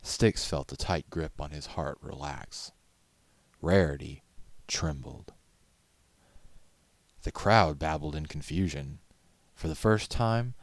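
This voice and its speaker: A man reading a story aloud as narrator, in a measured, solemn, dramatic audiobook style. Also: extremely low pitch, no echo.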